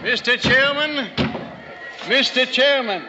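A shrewd colonial statesman in a vintage radio play. His voice is high, with measured, diplomatic phrasing. The recording is dull and clearly noisy. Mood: formal, insistent. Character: shrewd, calm elder statesman of colonial Congress. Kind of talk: old-time radio drama acting.

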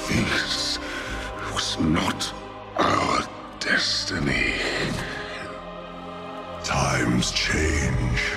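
An actor voicing a huge, brutal, commanding orc with a growling, gravelly voice in a game cinematic. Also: male voice, extremely low pitch, drawn-out words, long pauses, over music, heavily acted. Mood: grim. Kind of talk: dramatic game cinematic acting.

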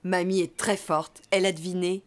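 A woman voicing a gentle, encouraging donkey mother in a preschool cartoon, affectionate in manner. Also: fairly low pitch, little pitch movement, normal speed, natural voice. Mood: pleased.

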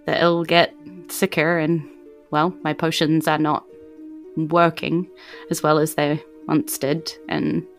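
A woman playing a gentle, cautious healer and potion seller in a tabletop game, with halting, measured phrasing. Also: sits low, flat delivery, soft background music. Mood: grave.

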